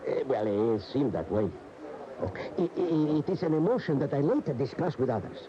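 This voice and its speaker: An actor in a radio drama playing an emotional bullfight devotee, recalling his idol reverently with dramatic, halting phrases. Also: mid-range voice, sing-song, a crowd in the background, close to an everyday voice. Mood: reverent, awed. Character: admiring bullfight aficionado reminiscing, emotional and reverent. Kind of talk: 1980 radio drama monologue, interview-like recollection. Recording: dull, noisy 1980 AM radio recording.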